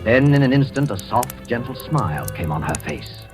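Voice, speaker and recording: A man hosting and narrating a 1940s radio thriller in a measured, formal, ominous manner. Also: medium pitch, big pitch swings, over music. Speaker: narrator and program host. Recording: old 1940s radio broadcast.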